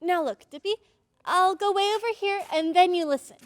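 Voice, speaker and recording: Woman acting as ten-year-old girl, very high voice, earnest, pleading, radio drama heroine, dry close sound. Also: normal speed, dry recording. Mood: urgent, pleading. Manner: urgent, pleading, emphatic.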